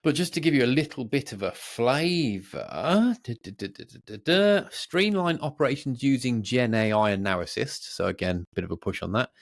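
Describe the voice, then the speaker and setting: A man's voice: medium pitch, expressive, moderate pace, gravelly, few pauses. A British man co-hosting a podcast in casual, unscripted tech chat, rambling, jokey and easily sidetracked. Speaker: podcast co-host.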